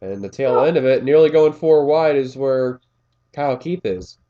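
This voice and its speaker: A man calls a race in a casual play-by-play, his voice a little scratchy and pitched in the middle range. He rambles, drops fillers and corrects himself as he goes, recorded on a muffled, lo-fi home mic. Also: moderate pace. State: slightly scratchy voice. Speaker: race commentator. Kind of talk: play-by-play commentary of a simulated race.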